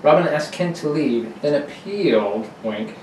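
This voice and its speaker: Man, radio-serial announcer, dramatic scripted narration, slightly high pitch, very echoey, distant mic. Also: expressive, unhurried. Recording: very echoey room, distant mic, faint noise.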